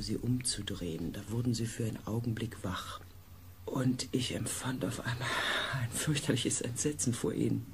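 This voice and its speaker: A man as a slow, earnest space researcher. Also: mid-range voice, darkish tone, slight background noise, natural voice. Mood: soothing, concerned. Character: earnest, compassionate male space-expedition researcher recounting events. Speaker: narrator and character in a radio play. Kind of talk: German sci-fi radio drama acting.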